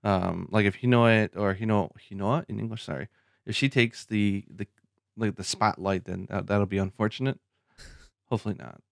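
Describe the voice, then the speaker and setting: A man co-hosting a podcast, talking casually in a halting way, restarting and hedging his words. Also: on the low side, steady tone, vocal fry, no room sound, studio quality. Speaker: podcast co-host. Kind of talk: casual chat.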